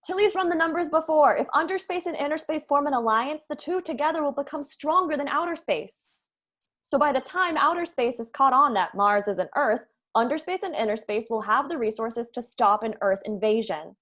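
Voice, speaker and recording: Woman playing a high-voiced brainy young planner. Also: moderate pace, a bit bright. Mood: confident. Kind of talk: table read of a comedy script.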